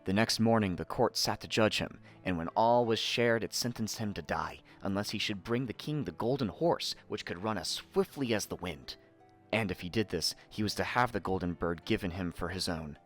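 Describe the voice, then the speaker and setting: A man narrating a scripted fairy tale in a theatrical, expressive manner. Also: fairly low pitch, moderate pace. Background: faint music.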